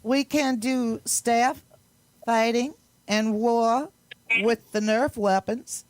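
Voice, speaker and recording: A woman's voice: a little high, steady tone, takes it slowly, no echo. A woman playing a curious, agreeable club newcomer in an amateur audio play.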